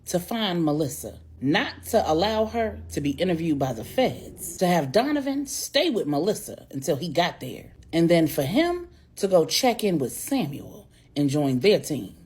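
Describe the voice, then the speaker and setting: An American woman narrating urban fiction in an expressive storytelling style. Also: on the low side, normal speed, hardly any pauses, breathy edge.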